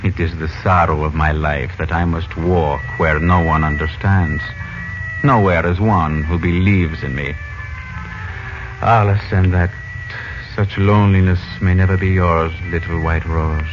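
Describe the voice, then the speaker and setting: A man's voice: low-pitched, steady tone, unhurried, background noise. A man playing a solemn, persuasive desert prince with formal, flowery phrasing in a 1940s radio serial. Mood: sorrowful, coaxing. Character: smooth, mysterious desert prince, sorrowful and persuasive schemer. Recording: old 1940s radio broadcast, muffled.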